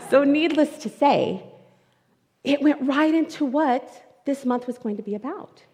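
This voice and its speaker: A woman's voice: high-pitched, expressive, slow pace. A woman as guest speaker, giving a candid, emotional, personal inspirational talk into a microphone at a spiritual centre. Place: spiritual centre hall, livestream. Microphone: microphone in front of her. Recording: long reverberant hall sound.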